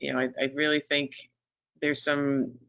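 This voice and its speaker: A woman in her fifties talks in a loose, chatty way, full of fillers and restarts. Her voice is gravelly and bright with an edge of tension. It comes over a video call with muffled webinar audio. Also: a bass voice, little pitch movement. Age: 50s. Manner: chatty, many fillers and restarts, quick to laugh. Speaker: resume writing business owner and panelist. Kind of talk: conversational webinar discussion.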